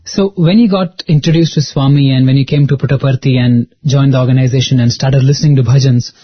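A man interviewing a guest, asking questions in a halting, hesitant way with many fillers. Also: medium pitch, fairly even intonation, breathy edge, dry recording. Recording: dull, dry lo-fi recording.

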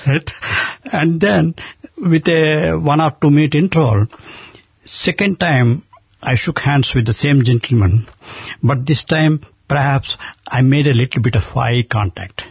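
An older man with an Indian accent, telling stories in an earnest, halting way with many restarts. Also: on the high side, husky, no room sound. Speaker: guest. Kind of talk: conversational storytelling. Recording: dull muffled lo-fi sound.

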